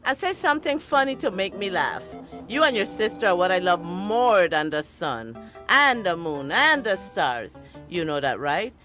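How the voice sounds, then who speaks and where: A woman's voice: fairly low pitch, sing-song, normal speed, a bit bright, soft background music. A woman playing a loving, scolding mother in a radio drama. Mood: worried, loving. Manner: fussing, emotional.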